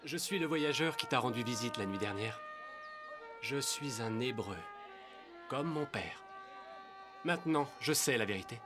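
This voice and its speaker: An actor playing an earnest, humane young Hebrew prince with a resolute manner in a dubbed animated film. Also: male voice, medium pitch, measured pace, pauses often, music in the background, lightly acted. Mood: sincere.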